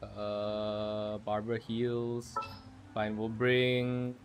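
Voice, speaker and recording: A man's voice: fairly low pitch, monotone, drawn-out words, soft background music. A man livestreaming a video game, giving slangy, offhand commentary as he chats to viewers. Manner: slangy, offhand, muttering to chat. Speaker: livestreamer, VTuber. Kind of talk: casual gameplay stream commentary.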